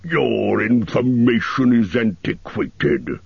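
A man voicing a huge, ancient, boastful male dragon who speaks in slow, booming, menacing boasts. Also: expressive, vocal fry, husky, no room sound, exaggerated voice. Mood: snapping. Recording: dull lo-fi recording.

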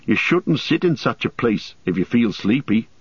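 A man's voice: mid-range voice, sing-song, moderate pace, husky, no room sound, dull audio. A man voicing a loyal, stubborn, plain-spoken male hobbit servant in a storybook, earnest and homely. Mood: practical.

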